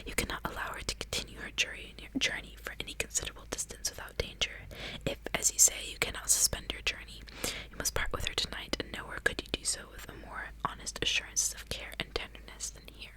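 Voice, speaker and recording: A woman reading a courteous, earnest old father from a gothic novel in a formal, breathy whisper. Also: fast talker, natural voice, poor audio. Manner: formal, gallant.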